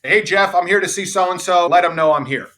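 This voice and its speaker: A man's voice: high voice, brisk. A middle-aged man, a sales executive, telling confident stories from his career in a podcast interview. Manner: confident, anecdotal, says he has a loud voice. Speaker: revenue executive guest.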